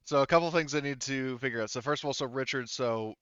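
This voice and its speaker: A man's voice: medium pitch, fast, fairly bright tone, smooth, no echo, muffled recording. A man running a tabletop roleplaying game as game master, talking casually and thinking aloud as he goes.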